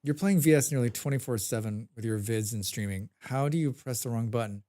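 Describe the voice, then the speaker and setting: A man's voice: medium pitch, a little fast, mellow. A man with a head cold chatting casually while live-streaming a game, humming to himself and answering his chat.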